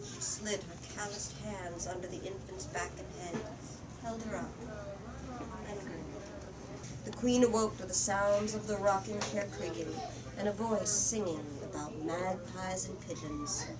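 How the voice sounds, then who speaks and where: A woman's voice: mid-range voice, far from the mic. Woman reading a story aloud, noisy room. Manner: expressive, measured reading. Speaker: storyteller and discussion leader. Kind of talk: reading aloud to young listeners.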